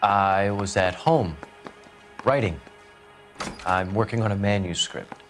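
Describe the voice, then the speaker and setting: A man's voice: lowish voice, slow pace, faint music behind. An American man voicing a smug, evasive, cynical writer under police questioning in a crime game, with a sardonic, articulate manner. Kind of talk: video game voice acting, 1940s crime drama.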